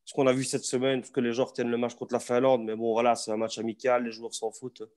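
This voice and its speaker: A football journalist from Belgium with a Belgian French accent, chatting casually on a video call, relaxed and rambling with restarts. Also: male voice, medium pitch, fast talker.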